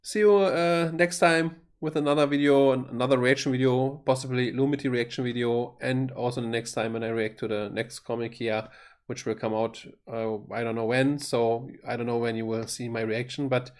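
A man's voice: mid-range voice, flat delivery, normal speed, clear, resonant tone. A man in his thirties giving casual reaction commentary as a video host, often stumbling over his words. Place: small indoor home room.

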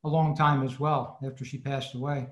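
A man talking casually and haltingly on a video call, explaining things with pauses mid-sentence. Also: medium pitch, level tone, highs cut off. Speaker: club presenter. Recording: roomy, echoing video-call sound.

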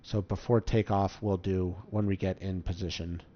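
A man's voice: low-pitched, steady tone, moderate pace, dark tone, slight background noise. A man giving casual, step-by-step commentary as host of a game tutorial. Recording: slightly dull, muffled microphone.

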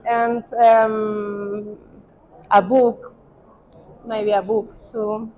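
Slow, halting middle-aged woman, non-native speaker. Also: mid-range voice, flat delivery. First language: not English. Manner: halting, searching for words. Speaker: interview guest, medical doctor. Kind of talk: casual chat. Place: large indoor hotel hallway and walkway, while walking. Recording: dull sound with clearly noisy ambience.

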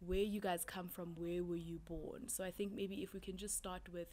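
A woman's voice: on the low side, little pitch movement, normal speed, a little mellow. A woman hosting a casual sit-down interview, asking long, rambling questions that circle back on themselves. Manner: rambling, repeats her questions. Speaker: interview host. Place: small indoor room, seated in an armchair. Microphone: handheld mic passed between speakers.

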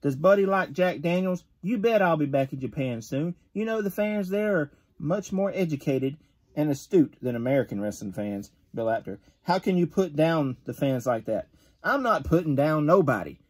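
Gruff man reading a wrestler's words. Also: a little high. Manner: gruff, tough-guy reading with occasional stumbles. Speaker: father reading a magazine interview aloud. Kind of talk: reading an interview aloud. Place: bedroom with shelves of collectibles. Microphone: phone camera at close range.

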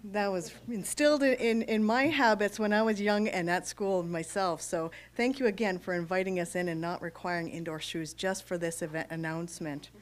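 A woman's voice: fairly low pitch, fairly even intonation, normal speed, few pauses. A woman introducing speakers at a podium in a school classroom, friendly and lightly joking. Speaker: event emcee. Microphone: podium microphone.

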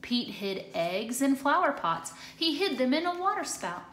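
A woman reading a picture book aloud to children in an expressive, deliberate way, recorded in an echoey room. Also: high-pitched, slight background noise. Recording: echoey hard-floored room.

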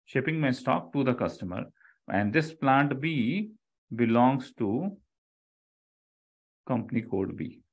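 A middle-aged man with an Indian accent gives a live online lecture. His voice sits at an average pitch. He explains as an instructor does, repeating key phrases and often checking that listeners follow. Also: lively intonation, takes it slowly, long pauses, husky, dull audio.

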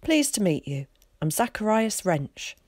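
A woman voicing a confident, talkative young schoolboy who chatters quickly and brightly in a well-spoken way. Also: medium pitch, sing-song, dry recording, studio quality, pitched above the performer's own voice. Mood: eager.

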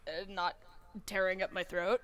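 A woman's voice: mid-range voice, flat delivery, normal speed, clean tone. A woman chatting casually in a cast Q&A as a voice actor, with a jokey, giggly, self-deprecating manner. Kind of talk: casual group chat.

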